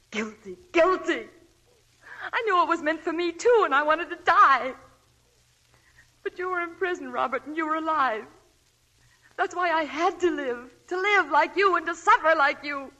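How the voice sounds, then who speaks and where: An actress playing a desperate, devoted young wife in a 1940s radio drama, pleading and emotional. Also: female voice, sits high, sharp tone, poor audio. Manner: pleading, emotional, breathy whispers.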